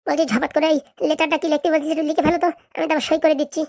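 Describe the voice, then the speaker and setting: A woman's voice: high-pitched, little pitch movement, racing, vocal fry, a little mellow. A woman voicing a bossy, impatient, haughty female politician in a comedy cartoon, brusque and demanding. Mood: brisk, businesslike. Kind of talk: comic cartoon dialogue.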